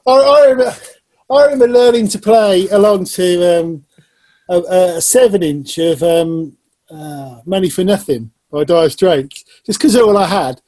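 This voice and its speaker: Casting: mellow, husky, high-voiced man from Northamptonshire, chatty podcast host. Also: unhurried. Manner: chatty, laughing asides, conversational. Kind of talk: casual interview chat.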